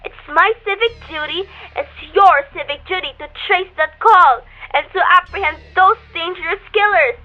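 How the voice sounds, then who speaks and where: A woman's voice: very high-pitched, moderate pace, hardly any pauses, muffled recording. A woman playing an agitated, imperious married woman in a radio play, with a demanding, indignant, melodramatic delivery. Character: agitated, imperious married woman reporting an overheard murder plot.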